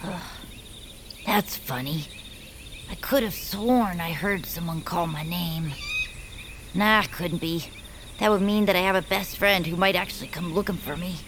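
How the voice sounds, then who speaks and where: A woman's voice: lowish voice, measured pace, long pauses, background noise, lightly acted. A woman voicing a young, mopey woodland creature in a family audio drama, with a dry, skeptical, hesitant manner. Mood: mopey, dejected. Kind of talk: family audio fiction character acting.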